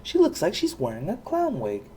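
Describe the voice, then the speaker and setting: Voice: medium pitch, wildly swooping pitch, normal speed, mellow, a little hiss or hum, pitched above the performer's own voice. A reader voicing a snooty, jealous, boastful female show pony from a children's storybook. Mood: jealous, haughty. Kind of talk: children's storybook read-aloud.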